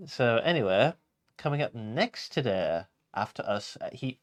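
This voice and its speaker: A man presents a casual live show as one of its co-hosts. His delivery is halting and he often restarts words, speaking at a middling pitch. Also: big pitch swings. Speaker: show co-host.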